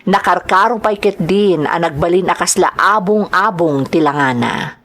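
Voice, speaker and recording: A woman's voice: lowish voice, normal speed, a bit bright. A woman with an Ilocano accent reading scripted announcements and letters as the host of a drama show. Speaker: host and narrator of a radio-style drama show.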